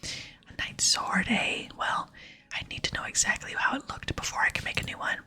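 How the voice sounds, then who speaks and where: A woman whispering in ASMR style, softly and slowly reading video game dialogue aloud. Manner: soft, slow, hushed reading. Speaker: ASMR gaming channel host.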